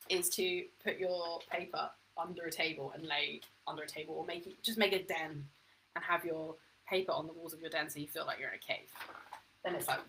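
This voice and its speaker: A woman's voice: fairly low pitch, pushed and bright, a little hiss or hum, low-quality recording. A woman teaching a home-education history lesson to camera, chatty and self-deprecating, thinking aloud as she goes.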